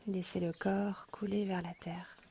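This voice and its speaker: A woman guiding a relaxation meditation in a hushed, breathy, half-whispered, soothing voice, as a yoga nidra teacher. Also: mid-range voice, level tone, unhurried, slight background noise. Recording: rain and birdsong behind the voice. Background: rain and birds.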